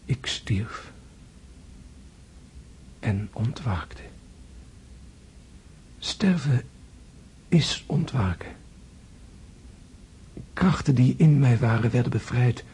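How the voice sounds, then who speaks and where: An actor playing a gravely wounded prince in a radio drama, tender and philosophical, speaking in hushed, halting, weakened tones. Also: male voice, medium pitch, lively intonation, heavily acted. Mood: solemn, serene. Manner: hushed, halting, intense delivery, often whispering. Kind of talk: Dutch radio drama acting.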